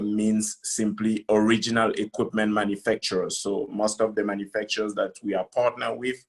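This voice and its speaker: A middle-aged man from the Democratic Republic of Congo giving a business presentation on a video call, speaking in a halting, deliberate way. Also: fairly low pitch. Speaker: conference speaker, company founder.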